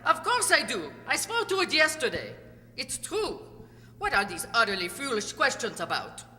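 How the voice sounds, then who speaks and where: A woman's voice: on the high side, huge pitch swings, moderate pace, sharp tone, heavily acted. An actress playing a haughty, bitter, defiant woman witness theatrically in a courtroom radio play. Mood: indignant. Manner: indignant, theatrical. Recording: reverberant hall.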